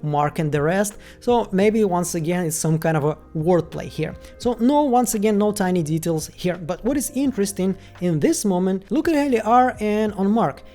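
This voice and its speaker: A woman's voice: mid-range voice, animated, moderate pace, hardly any pauses, warm tone, faint music behind. A woman from Ukraine with a Ukrainian accent, hosting a chatty video commentary full of "like" and "once again" fillers. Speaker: YouTube host.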